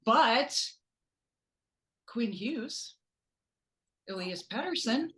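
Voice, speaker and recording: A woman chatting casually about sports as a podcast guest, excitable and joking as she tells stories. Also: fairly high pitch, very slow. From Canada. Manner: excitable, animated storytelling, joking.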